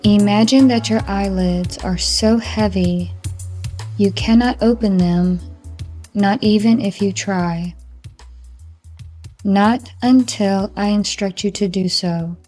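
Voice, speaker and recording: A woman guides a hypnotic meditation with extremely unhurried, soothing instructions. Her tone is relaxed and mellow, at a middle pitch. Faint music plays behind her voice. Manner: slow, soothing, instructional delivery. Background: background music.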